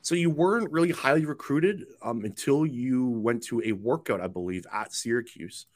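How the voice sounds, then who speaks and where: Man, medium pitch, podcast interviewer, informal, slightly hesitant phrasing. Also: normal speed. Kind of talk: casual interview questions.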